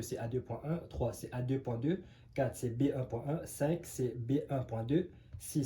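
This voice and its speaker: Guy teaching a language online, mellow low-ish voice, lots of uhs, laid-back explaining. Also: a little hiss or hum. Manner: hesitant, many filler sounds; relaxed, conversational explaining. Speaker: French teacher. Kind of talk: casual online language lesson.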